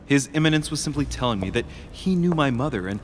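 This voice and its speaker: A man's voice: medium pitch, expressive, quick pace, a little hiss or hum, natural voice. A man voicing a polite young nobleman in a video game, formal and deferential. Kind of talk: game voice acting.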